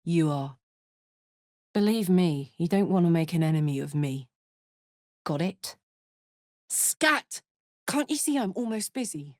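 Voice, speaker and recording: A woman's voice: fairly low pitch, animated, unhurried, long pauses, darkish tone, no echo, clean studio sound. An actress playing a bold, hearty young female soldier in a video game, brash and slangy with a playful streak. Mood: warning. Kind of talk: expressive video game voice acting.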